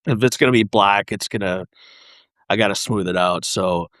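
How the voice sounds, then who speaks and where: A man speaking as a podcast guest, explaining his craft casually in halting, piecemeal phrases with frequent pauses. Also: on the low side, fairly bright tone, no echo, studio quality. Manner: halting, pausing, piecemeal delivery. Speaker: podcast guest, custom paint artist.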